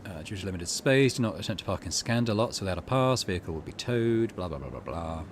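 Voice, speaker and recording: A man narrates and comments on a story game as a channel host. His voice sits on the low side but moves in a very animated way. Musing asides break up the reading, over faint background ambience. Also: fast, a little hiss or hum. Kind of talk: let's play commentary and reading game text aloud.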